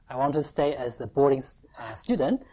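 Very deep-voiced woman preaching. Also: animated, dark tone, husky. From Taiwan. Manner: halting, with short phrases and pauses for the interpreter. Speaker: preacher giving testimony. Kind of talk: sermon with line-by-line interpretation.

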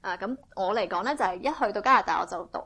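A woman interpreting a sermon phrase by phrase, speaking in a brisk, fluent and eager way. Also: medium pitch, creaky, pushed and bright, slightly rough.

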